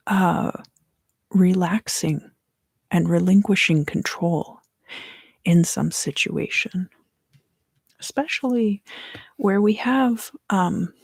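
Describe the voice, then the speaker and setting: Woman, ASMR tarot reader: very husky and breathy, hushed and often whispering, soothing and unhurried. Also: on the low side, no echo, studio quality. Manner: slow, soothing, hushed guided delivery. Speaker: tarot card reader and host.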